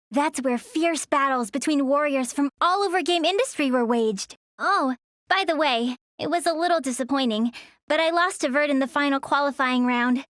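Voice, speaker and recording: A sweet, polite teenage girl with a high, gentle voice, recorded dry and close. She sounds earnest and soft-mannered, in the style of an anime game. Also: no room sound. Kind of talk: anime game acting and story narration.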